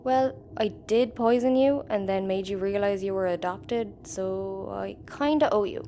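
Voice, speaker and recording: An actress playing a tough, wry, guardedly tender spaceship crew member in an audio comedy. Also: female voice, mid-range voice, a little slow, warm tone, natural voice. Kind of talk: sci-fi audio comedy acting. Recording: faint background noise.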